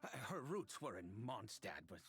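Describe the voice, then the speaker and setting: An actor playing a grief-stricken, centuries-old cursed nobleman in a video game, with halting, formal speech and nervous stammers. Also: on the low side, very animated, soft background music. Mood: sorrowful reminiscence. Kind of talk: dramatic video game voice acting.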